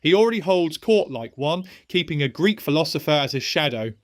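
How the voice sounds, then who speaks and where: A man's voice: fairly high pitch, expressive, fairly quick, no room sound, clean studio sound, close to an everyday voice. An actor in a historical audio drama playing an agitated, argumentative young Roman nobleman who speaks earnestly and urgently. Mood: accusing.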